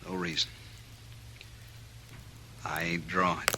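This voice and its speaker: A man's voice: low-pitched, level tone, slow pace, noisy background. An American man acting an evasive, sullen hired gunman with a guarded drawl in a 1950s radio western. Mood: sullen, backing down. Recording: vintage radio recording.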